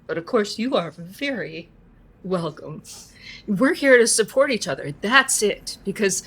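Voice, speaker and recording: A woman acting in an audio drama as a calm, reassuring support group leader who gently questions and encourages. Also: medium pitch, unhurried, husky, poor audio. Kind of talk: conversational audio drama acting, live recording.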